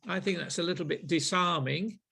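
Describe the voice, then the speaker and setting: A middle-aged man with a Manchester accent, leading a group sales session in a deliberate, reflective way. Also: on the high side, vocal fry, sharp tone, slightly rough. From Manchester, England. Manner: deliberate, with frequent ums and restarts.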